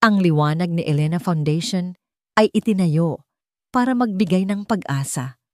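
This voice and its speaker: A woman voicing a composed, earnest wealthy man giving a calm, controlled formal speech in an audio drama. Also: lowish voice, moderate pace, no room sound, studio quality, natural voice. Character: composed, earnest wealthy husband addressing gala guests.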